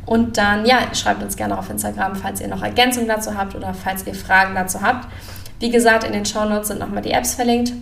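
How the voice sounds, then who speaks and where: Female podcast co-host, frank informal chat, some vocal fry, off-mic with faint noise. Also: mid-range voice, steady tone, fairly quick, fairly bright tone. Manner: frank, opinionated. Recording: roomy, distant mic with background noise.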